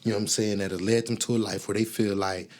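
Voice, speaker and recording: Low-voiced man rambling as host. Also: level tone, fast, a little mellow. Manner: rambling, filler-heavy recollection. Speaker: podcast host. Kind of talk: casual conversation.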